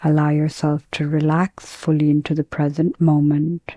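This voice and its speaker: A woman teaching guided meditation, speaking in a calm, gentle, measured way with pauses between phrases. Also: deep voice, no room sound.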